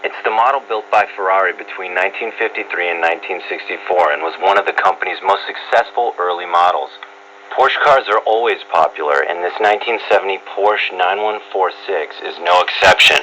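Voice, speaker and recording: A man's voice: deep voice, a little fast, sharp tone, dull audio. A man reading a scripted radio feature as a reporter, heard over shortwave radio. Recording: noisy shortwave radio reception with hum. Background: static and hum.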